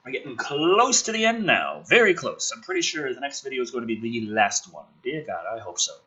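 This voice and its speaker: A male host with a bright, forceful voice that swings widely in pitch. He has a rough, husky edge and delivers sarcastic, profane commentary, shifting between off-the-cuff ranting and theatrical script reading. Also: low-quality recording. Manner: sweary, sarcastic, informal.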